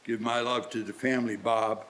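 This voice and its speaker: A man's voice: medium pitch, flat delivery, moderate pace, a bit bright, natural voice, poor audio. A man playing a reformed old Victorian businessman in a live radio play, speaking formally and earnestly. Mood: hearty, generous.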